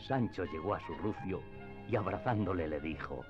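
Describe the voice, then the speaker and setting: A man narrating a classic novel in a radio drama, with measured literary storytelling. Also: sits low, lively intonation. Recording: slightly dull, band-limited audio. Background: faint background music.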